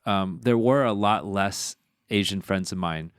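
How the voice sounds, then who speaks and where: A man's voice: low-pitched, a little slow, clean tone, no echo, clean studio sound. A man hosting a podcast, chatting casually and thinking aloud with many fillers. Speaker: podcast host.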